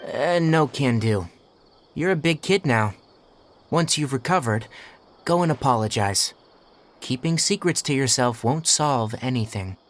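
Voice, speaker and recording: An actor playing a sensible young forest watcher and healer, firm and matter-of-fact, in a video game. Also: male voice, mid-range voice, lively intonation, a little slow, slight background noise, natural voice. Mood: firm, reassuring.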